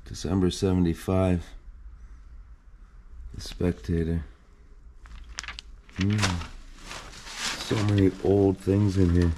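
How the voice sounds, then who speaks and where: A man filming himself as he explores a flooded basement in an abandoned house, talking in a low, hushed voice while crouching and climbing over clutter. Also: flat delivery, slow pace, pauses often, noisy background. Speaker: urban explorer filming a tour. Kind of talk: hushed exploration vlog narration.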